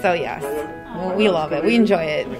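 A woman's voice: on the low side, moderate pace, over music. A woman, a bride, talking in a bubbly, effusive way. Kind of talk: casual interview answers.

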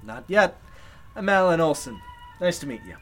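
Actor as jovial congressman, animated swooping intonation, chatty, charming, radio play, faint music. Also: on the high side, normal speed. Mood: jovial, sociable. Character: jovial congressman, sociable newcomer with polite charm. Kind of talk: golden-age radio drama acting.

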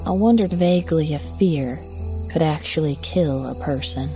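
A woman narrating a horror story in the first person as a shaken daughter looking back, with measured, reflective phrasing. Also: low voice, dark tone, soft background music. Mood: anxious, reflective. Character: shaken daughter recounting a frightening childhood memory.

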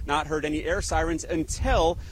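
A man giving a live news report in a measured reporter delivery, recorded outdoors in a city. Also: on the high side, noisy background. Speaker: news correspondent.

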